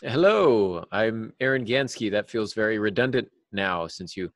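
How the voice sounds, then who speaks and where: A man with a fairly low voice chats casually as a podcast co-host. He rambles with self-deprecating asides, and the sound is very dry with no room echo. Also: expressive, normal speed. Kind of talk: casual chat.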